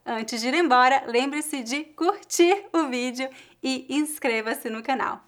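A woman's voice: sits high, a little slow. A woman language teacher hosting her channel, interviewing a guest and then closing the video in a friendly, encouraging way. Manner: friendly and encouraging, with frequent "né?" tags.

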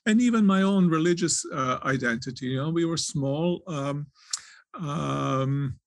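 A man, giving thoughtful, halting answers in an interview, often restarting his phrases as he searches for words. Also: a little high, vocal fry, dry recording, studio quality. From Croatia. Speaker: author and theologian, interview guest.

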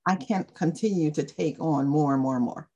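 A woman's voice: low-pitched, moderate pace, mellow, slightly rough, highs cut off. A woman hosting an interview, affirming her guest and asking reflective questions. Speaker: podcast host. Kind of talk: interview conversation.

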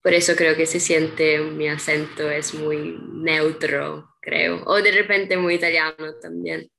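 Young woman from Peru, interview guest; slow, hesitant delivery, low gravelly voice. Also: flat delivery, sharp tone, poor audio. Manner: hesitant, many ums and restarts. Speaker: interview guest, artist's model. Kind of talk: casual interview chat.

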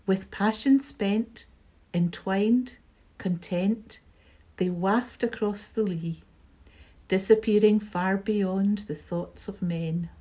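A woman reading a poem aloud in a slow, measured recitation. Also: mid-range voice, mellow. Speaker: library staff member reading a poem. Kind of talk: poetry reading.

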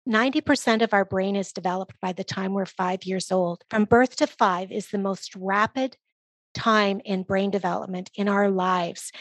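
Measured woman expert, close dry sound. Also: mid-range voice, no echo. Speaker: guest expert, early literacy organization leader. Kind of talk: explanatory interview answers.

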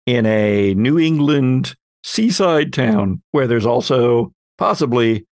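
A man's voice: mid-range voice, expressive, slow pace, a little mellow, no room sound, studio quality. A man hosting a casual panel discussion on a podcast. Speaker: podcast host.

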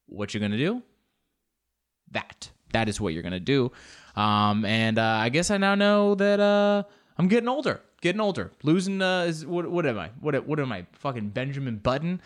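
A man's voice: mid-range voice, normal speed. A man in his late twenties telling a comedic story in a rambling, casual way, swearing often. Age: 20s. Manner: rambling, animated, swears a lot.

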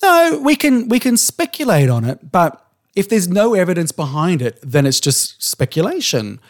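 A man's voice: high-pitched, very animated, normal speed. A man with an Australian accent co-hosting a podcast, arguing his points insistently and swearing casually. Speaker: podcast co-host.

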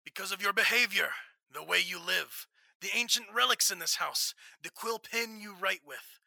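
A young man playing a stern, invisible crime-fighting investigator in classic radio drama style, measured, formal and ominous. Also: high-pitched, vocal fry, bright, forceful tone, dry recording, exaggerated voice. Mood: quiet menace. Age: young adult.

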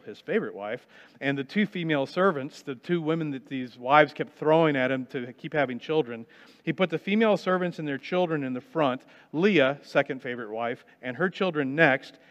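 Man preaching in a reverberant hall. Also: on the high side. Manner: storytelling retelling of scripture, dramatic pauses, swings between loud and hushed. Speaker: pastor. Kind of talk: sermon. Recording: muffled sound with long reverb in a large hall.

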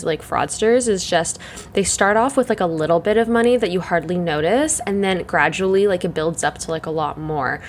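A young woman hosting her solo podcast, chatting in a rambling way full of fillers, her voice croaky and congested from the morning. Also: fairly low pitch, a little hiss or hum. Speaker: podcast host. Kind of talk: casual solo chat.

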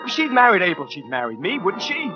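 A man's voice: medium pitch, expressive, fairly quick, close to an everyday voice. An actor in a 1940s radio crime drama playing a cold, self-justifying American killer, brooding as he narrates and clipped when he talks. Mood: agitated self-justifying. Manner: brooding first-person narration with hesitant, clipped dialogue. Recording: muffled 1940s radio broadcast, faint music.

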